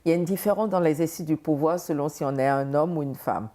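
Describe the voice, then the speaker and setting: A woman's voice: deep voice, fast, warm tone. A woman hosting a TV interview, asking long questions with many hesitations. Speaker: host.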